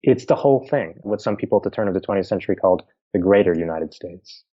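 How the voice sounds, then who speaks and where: A man's voice: deep voice, animated, quick pace, slightly rough, highs cut off. A middle-aged man with a Pennsylvania accent, a historian answering interview questions in a thoughtful, explanatory way with informal asides. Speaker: historian guest.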